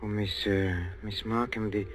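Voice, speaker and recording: An actor playing a meek but secretly resentful botany professor in a 1940s radio thriller, with a Central European accent and hesitant, repetitive speech. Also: male voice, on the low side, level tone, natural voice. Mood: hesitant, smitten. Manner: hesitant, halting, repeating words. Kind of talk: 1940s radio suspense acting. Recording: 1940s radio recording with music.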